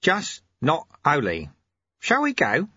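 A man voicing a cheerful, amiable little old male monk who sweeps, talking in a gently teasing, unhurried way. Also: a little high, wildly swooping pitch, husky, dry recording, dull audio, pitched above the performer's own voice, full character voice.

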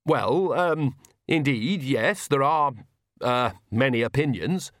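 Man as young male priest, earnest, polite, halting, formal, storybook dialogue, dry close sound. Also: medium pitch, expressive, a bit bright, no echo, pitched above the performer's own voice. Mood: earnest, unsure.

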